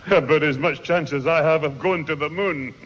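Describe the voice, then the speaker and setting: A man's voice: on the high side, normal speed, fairly bright tone, natural voice. An actor playing a grumpy, sardonic Northern English grandfather in a 1960s radio sitcom. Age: older. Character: sardonic beer-loving grandfather, grumpy old man. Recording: muffled noisy 1960s radio recording, echoey hall.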